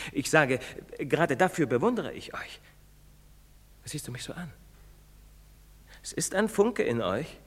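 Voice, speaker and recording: An actor playing a priest who urges a young man fervently, then confesses in hushed whispers. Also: mid-range voice, exaggerated voice. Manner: preaching, insistent, dropping to guilty whispers. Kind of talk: radio play acting. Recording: long reverberant studio echo.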